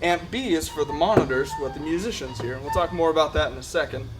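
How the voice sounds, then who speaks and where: Higher-pitched man giving a hands-on tutorial. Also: quick pace, loud music behind the voice, low-quality recording. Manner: practical, conversational, explanatory. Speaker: equipment rental tutorial presenter. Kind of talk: informal hands-on product tutorial.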